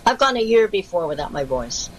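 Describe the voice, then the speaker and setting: A woman with a lowish voice talks in a relaxed, rambling way, often restarting her sentences. She is recovering from a spell without her voice. A faint hum of room ambience sits behind her. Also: brisk, rough recording. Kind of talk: casual chat.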